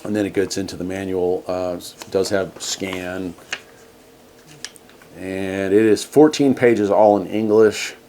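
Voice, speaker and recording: A man's voice: deep voice, steady tone, slow, warm tone, slight background noise. A man hosting a casual hands-on product review, talking in a laid-back, folksy way. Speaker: host of a radio gear review channel.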